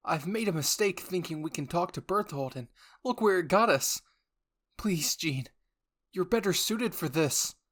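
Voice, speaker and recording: A man voicing an anxious, higher-pitched young soldier. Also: expressive, measured pace, a bit bright, heavily acted. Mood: anxious, pleading. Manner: hesitant, stammering, pleading. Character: anxious, unsure young male soldier turned strategist. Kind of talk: anime fan roleplay voice acting.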